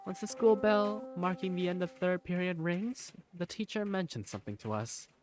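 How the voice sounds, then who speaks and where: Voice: medium pitch, normal speed, lightly acted. A performer playing a bookish, teasing student who narrates school life in a visual novel, with music behind. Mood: musing. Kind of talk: first-person visual novel narration and dialogue.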